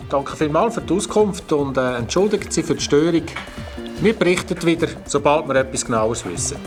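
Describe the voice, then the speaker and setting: An actor with a Swiss German accent playing a polite, formal police officer speaking on the phone in a radio play. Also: male voice, on the high side, animated, fairly quick, natural voice. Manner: courteous and formal. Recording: music playing under the voice.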